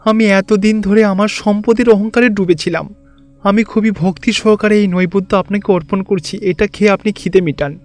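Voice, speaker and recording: Cartoon rich king, acted by a man: very high voice, frightened, humble, pleading; faint music underneath. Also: steady tone, normal speed. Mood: remorseful pleading. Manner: pleading, flustered, deferential. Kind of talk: Bengali cartoon fairy-tale voice acting.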